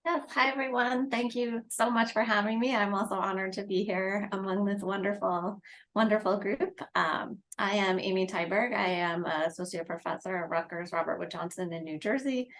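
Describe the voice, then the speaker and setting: A woman's voice: mid-range voice, fairly even intonation, moderate pace, fairly bright tone. A woman panelist giving a professional introduction of herself.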